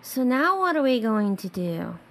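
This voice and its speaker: A woman's voice: fairly high pitch, big pitch swings, unhurried, a bit bright, full character voice. A woman playing a careful, hesitant schoolgirl superhero sister in a radio drama. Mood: uneasy.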